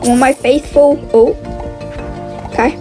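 A woman's voice: high voice, steady tone, takes it slowly. A woman giving casual, chatty gameplay commentary, exclaiming and thinking aloud as she plays. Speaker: gaming video host. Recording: music under the voice.

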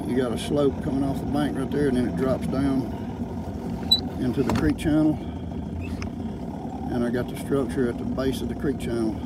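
Man in his 60s, Southern US accent, mid-pitched voice, folksy outdoor vlog talk, loud background motor noise. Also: steady tone, normal speed. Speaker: fishing vlogger. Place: open fishing boat on a lake, partly in a vehicle.

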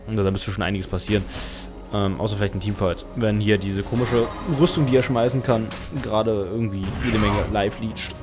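A man's voice: on the low side, fairly even intonation, brisk. A young man with a German accent casting a match live, thinking aloud and correcting himself as he goes. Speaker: game caster. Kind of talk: casual game commentary. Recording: music under the voice.

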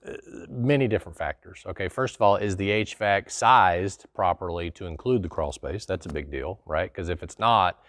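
A man's voice: low-pitched, moderate pace, hardly any pauses, a bit bright, dry recording. A middle-aged man, hosting a casual explainer in a small studio and answering a viewer's question in a folksy, conversational way. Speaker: host. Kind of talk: casual explanatory talk to camera. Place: small studio in front of a plain wall.

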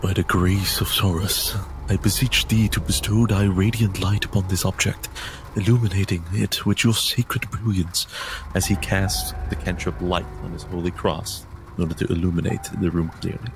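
A man's voice: low-pitched, level tone, moderate pace, vocal fry, background noise. A man playing a tabletop roleplaying game, narrating his character's actions and speaking in solemn, formal phrases. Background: music.

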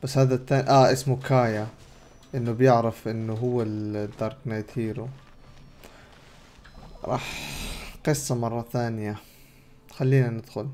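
A man's voice: medium pitch, level tone, normal speed, long pauses, a little mellow, slight background noise. A young adult man with a Levantine Arabic accent, casually narrating and commenting on a game as he streams it. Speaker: gaming streamer. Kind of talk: casual game playthrough commentary, retelling dialogue. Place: indoors at a computer.

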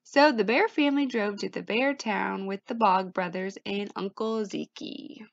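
A woman's voice: medium pitch, measured pace, vocal fry, highs cut off, slight background noise. A woman narrating a children's picture book aloud, with music behind her. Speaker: storybook reader.

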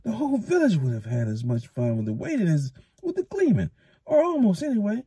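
A man voicing an eager, cheeky young male villager in a storybook reading, lifting his voice high. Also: huge pitch swings, fairly quick, mellow, husky, dry recording, studio quality, exaggerated voice. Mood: aggrieved. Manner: eager, aggrieved.

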